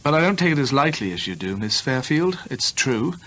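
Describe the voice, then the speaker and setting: An actor playing an earnest storyteller who believes in the supernatural, measured and conversational, in a radio drama. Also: male voice, medium pitch, big pitch swings, bright, forceful tone, rough recording. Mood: earnest, defensive.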